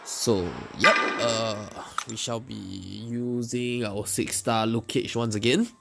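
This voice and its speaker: A man hosts a gaming video with casual, unscripted commentary. His voice sits at an average pitch, and he explains step by step, often pausing partway through a sentence. Loud music plays behind his voice. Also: fairly even intonation, creaky. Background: loud music under the commentary.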